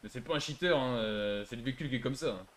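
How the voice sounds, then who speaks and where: Fast-talking man needling in game chat. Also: medium pitch, fairly bright tone, slight background noise. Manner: needling, argues back. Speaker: online roleplay gamer. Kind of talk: casual banter in online roleplay game.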